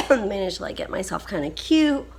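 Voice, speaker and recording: A young woman vlogging casually, hoarse and coughing, weary and frustrated. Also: mid-range voice, big pitch swings, heavy background noise. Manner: tired, frustrated, drawn-out speech. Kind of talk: casual vlog chat.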